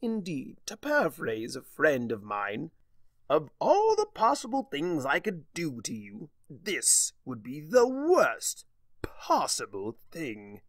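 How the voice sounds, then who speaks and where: A man's voice: fairly high pitch, huge pitch swings, slow, dry recording, voice pushed up, heavily acted. Man putting on a theatrical, swooping trickster chimera. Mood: gloating menace. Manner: pompous, wordy, taunting. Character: theatrical, mocking, vengeful male chimera trickster of chaos. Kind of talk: dramatic fan fiction audiobook reading.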